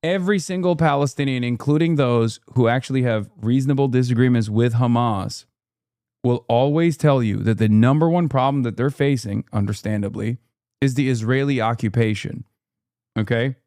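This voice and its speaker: A man's voice: medium pitch, darkish tone, dry recording. Sarcastic man, dry close mic. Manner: combative, sarcastic, rapid rebuttals. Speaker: streamer reacting to a clip. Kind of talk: political commentary, casual.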